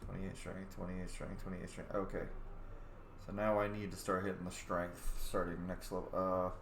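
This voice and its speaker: A young man casually commenting on a video game as he plays, muttering to himself with excited bursts. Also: low-pitched, normal speed, vocal fry, background noise. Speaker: gamer. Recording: echoey room.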